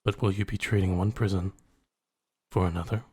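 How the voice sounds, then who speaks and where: A man's voice: sits low, steady tone, fairly quick, a little mellow, husky. A man narrating a scripted story in the second person, as an introduction to a roleplay.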